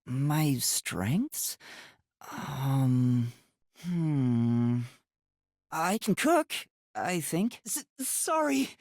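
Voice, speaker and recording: A man voicing a timid, earnest teenage boy detective in a video game, with a soft youthful voice and a hesitant, polite, stammering way of talking. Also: a little high, sing-song, extremely slow, dry recording, clean studio sound, full character voice. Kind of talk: English video game voice acting.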